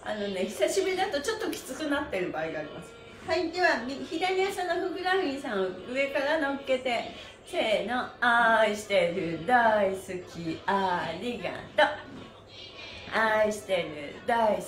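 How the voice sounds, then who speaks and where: A woman's voice: a little high, expressive, moderate pace, soft background music. A woman leading a live stretching class, cheerful and encouraging, chatting with viewers and chanting counts. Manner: cheerful, encouraging, often laughing. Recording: roomy echo, faint background noise.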